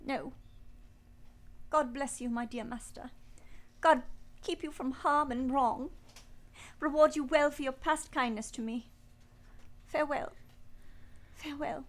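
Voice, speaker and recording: A woman playing a sorrowful but resolute young governess, telling her story in an earnest, measured, formal way for a radio play. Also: high voice. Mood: sad, firm. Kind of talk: first-person dramatic narration in a radio play. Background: piano music.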